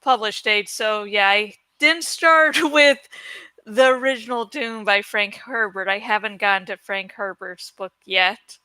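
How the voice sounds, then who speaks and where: A woman's voice: medium pitch, measured pace, bright, forceful tone, smooth, dry recording. A woman hosting a book reading channel, talking in a casual, rambling chat style.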